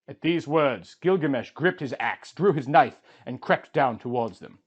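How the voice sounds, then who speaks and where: A man's voice: medium pitch, animated, unhurried, slightly rough, muffled recording. A man narrating an ancient epic as dramatic, scripted storytelling.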